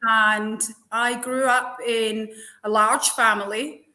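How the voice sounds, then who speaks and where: A woman with a Glaswegian Scottish accent talks with bright, sharp energy and a fairly high voice. She is forthright and tough, laughs easily and speaks like a lively guest on a talk show. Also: takes it slowly. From Scotland. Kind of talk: casual interview chat.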